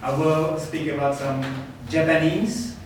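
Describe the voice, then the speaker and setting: Male interpreter, brisk and plain; distant mic, big echo, faint noise. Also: fairly high pitch, fairly even intonation. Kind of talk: consecutive interpreting into English. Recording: echoey room from far off.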